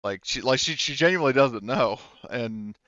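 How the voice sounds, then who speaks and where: A man narrating a tabletop roleplaying game as game master, explaining things informally and haltingly as he improvises. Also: medium pitch, expressive, fairly bright tone, no room sound, dull audio.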